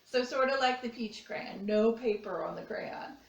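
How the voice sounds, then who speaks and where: A woman's voice: on the high side, moderate pace, creaky, lo-fi sound. A woman art teacher giving children a simple, step-by-step craft demonstration. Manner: simple, step-by-step explaining with repeated phrases. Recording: echoey room, distant microphone.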